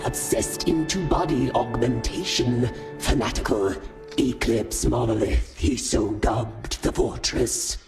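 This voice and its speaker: A man's voice: medium pitch, a little mellow, soft background music, close to an everyday voice. A man voicing a terse alien informant in a video game, speaking in clipped, broken phrases. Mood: grave, terse. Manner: clipped, halting, broken grammar. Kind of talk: video game cutscene dialogue.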